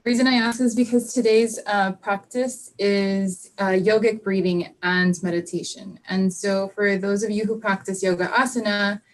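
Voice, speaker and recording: A woman teaches yoga over a video call, speaking softly and slowly as she guides a reflection. Her voice sits in an ordinary middle range, with frequent pauses and fillers. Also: mid-range voice, fairly even intonation. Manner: soft, unhurried guiding with many fillers. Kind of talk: live online class, guided reflection.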